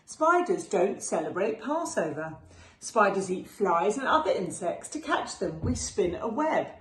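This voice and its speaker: A patient, gently chiding mother spider from a picture book, acted by a woman. She teaches with animated pitch and stretches her key words, in a slightly echoing room. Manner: instructive, drawn-out emphasis on key words. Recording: roomy echo with faint background noise.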